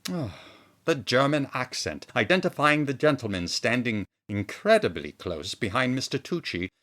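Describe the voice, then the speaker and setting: A man's voice: medium pitch, very animated, normal speed, fairly bright tone. A man playing a pompous, precise police inspector with a formal, easily exasperated manner in a comedy audio drama. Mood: smug deduction.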